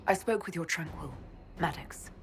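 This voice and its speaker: A woman's voice: lowish voice, normal speed, vocal fry, fairly bright tone, soft background music. An actress playing a composed, wry woman leader, the self-assured hero of a fantasy video game. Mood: dry, composed. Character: composed, wry female hero leading an inquisition.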